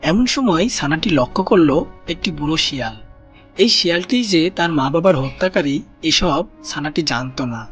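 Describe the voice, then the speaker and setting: A man narrating a children's cartoon story in a gentle storybook manner, speaking to young listeners as friends. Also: on the high side, lively intonation, normal speed. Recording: voice-over with loud background music.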